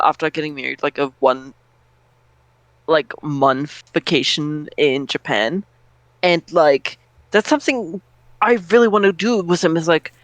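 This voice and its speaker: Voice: medium pitch, fairly bright tone, dry recording. A podcast co-host chatting casually, with an informal, teasing, sometimes sweary way of talking. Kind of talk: casual chat.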